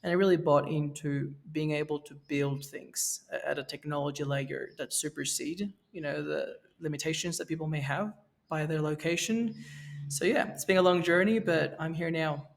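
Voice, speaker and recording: A man in his thirties from Venezuela, a podcast guest speaking in a relaxed way, with fillers and restarts. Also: fairly high pitch, moderate pace, vocal fry. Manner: relaxed, with fillers and self-corrections. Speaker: guest, NFT project co-creator. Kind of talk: casual podcast interview. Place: Mexico, where he is now.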